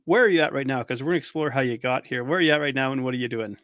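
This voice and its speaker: A man's voice: mid-range voice, racing, fairly bright tone, smooth, dry recording, studio quality. A man hosting a casual interview, asking curious, tentative questions and acknowledging the answers often. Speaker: podcast host.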